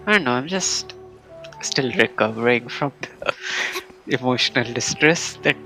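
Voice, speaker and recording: A woman's voice: a bass voice, lively intonation, a little slow, pushed and bright, faint music behind, poor audio. A woman live streaming a game with casual commentary, muttering short asides.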